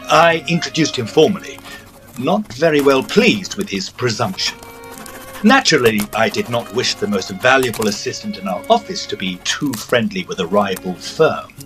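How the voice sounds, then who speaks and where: A man's voice: mid-range voice, expressive, moderate pace, fairly bright tone, soft background music, close to an everyday voice. A man playing a fussy, proper Edwardian ghost-hunter, telling his story formally and precisely in an audio drama. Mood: narrating. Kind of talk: first-person period storytelling and audio drama.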